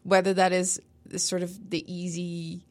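A woman's voice: lowish voice, level tone, a little slow, a little mellow. A young Dutch woman, native Dutch speaker, talking as a guest in a casual interview, thoughtful and full of hedges. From Netherlands. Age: young adult. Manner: thoughtful, many fillers and hedges.

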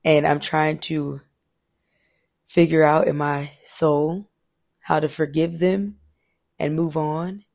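Hoarse dude cohost, deep scratchy fry in the voice, blunt, cusses a lot, jokes around. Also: male voice, flat delivery, slow, pauses often, darkish tone. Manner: blunt and joking, swears freely. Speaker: podcast co-host. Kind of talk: casual chat.

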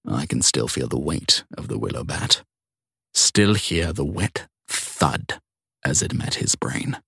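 A man narrates first-person crime fiction in a low voice. His intonation moves widely as he tells the story in measured phrases with dramatic pauses. The sound is dry, with no room around it. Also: lively intonation, creaky, bright, forceful tone, husky, dry recording.

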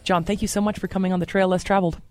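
A man's voice: high-pitched, little pitch movement, racing, darkish tone, dry recording, soft background music. A man hosting a radio interview in a studio, introducing and welcoming his guest. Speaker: radio host.

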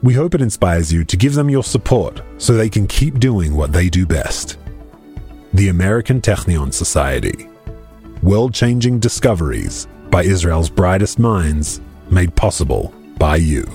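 Low emphatic male ad voice. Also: very animated, unhurried, warm tone, soft background music. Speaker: ad announcer. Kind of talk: scripted advertisement.

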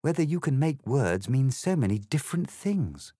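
A man's voice: medium pitch, sing-song, moderate pace, mellow, no echo, studio quality, voice pushed up. A man voicing a prim, polite and puzzled young girl in a storybook satire. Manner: polite and proper.

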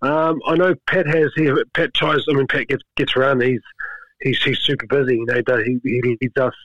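A middle-aged man, chatting as a guest in a relaxed way, often repeating words as he gathers his thoughts. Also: mid-range voice, moderate pace, no room sound. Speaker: guest, rugby skills coach. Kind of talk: casual interview chat. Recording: slightly dull lo-fi sound.

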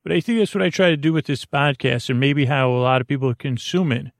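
A man hosting a bedtime podcast, rambling in a halting, meandering way with false starts and tangents, in a gravelly voice. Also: mid-range voice, no room sound, studio quality. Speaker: podcast host.

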